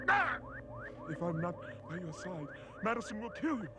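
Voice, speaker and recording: Very high-pitched frantic, delirious guardian. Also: male voice, big pitch swings, moderate pace. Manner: crying out in panic. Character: frantic, delirious, devoted male guardian haunted by the past. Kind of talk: animated adventure series acting.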